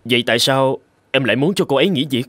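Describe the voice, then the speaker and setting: A man's voice: medium pitch, fast, a bit bright, no room sound, clean studio sound, lightly acted. A man playing a disbelieving, reproachful young husband in a scripted cartoon, questioning indignantly.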